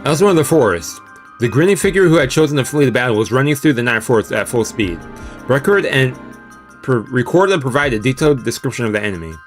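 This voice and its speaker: A man's voice: medium pitch, animated, fast. Man reading a story aloud over music. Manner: stumbles over words while reading, breaks into casual asides. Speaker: streamer playing and reading a visual novel aloud. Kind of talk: live let's-read narration with casual reactions. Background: game music.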